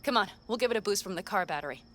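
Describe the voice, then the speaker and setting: A woman voicing a brisk, cocky mercenary. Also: mid-range voice, lively intonation, fairly bright tone, close to an everyday voice. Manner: brisk, commanding planner. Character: tough female fixer, confident and cocky. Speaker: mercenary ally. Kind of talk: video game dialogue.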